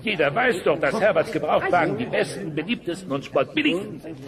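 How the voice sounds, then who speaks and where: A slick, boastful dinosaur car salesman. His voice is high yet gravelly, oozing shameless sales patter, with a crowd in the background. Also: lively intonation, a little fast. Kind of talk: sales patter in audio drama.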